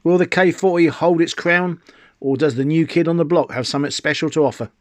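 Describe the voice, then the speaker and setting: A man's voice: on the high side, a little fast, dry recording. A middle-aged British man narrating an informal product review in a chatty, explanatory way, posing rhetorical questions as he goes. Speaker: video presenter and radio hobbyist.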